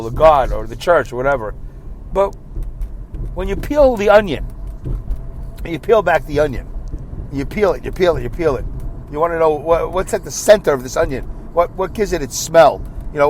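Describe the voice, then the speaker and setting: A man's voice: on the high side, slight background noise, rough recording. Rambling man talking in his car. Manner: rambling, repeats himself, thinks out loud. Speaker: podcast host. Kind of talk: casual solo monologue. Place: inside a parked car.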